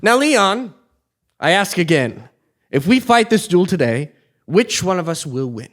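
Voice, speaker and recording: A man's voice: high voice, sing-song, a little slow, fairly bright tone, exaggerated voice. A man acting a pompous, sly king in a comic stage play, with a haughty theatrical delivery. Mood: sly, commanding.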